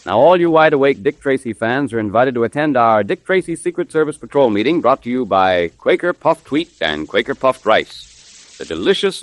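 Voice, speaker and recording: A male announcer in the 1930s radio advertising manner. He pitches emphatically to young listeners with an animated, swooping delivery. The vintage recording is very dry. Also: dry recording. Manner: emphatic, salesmanlike, addressing children.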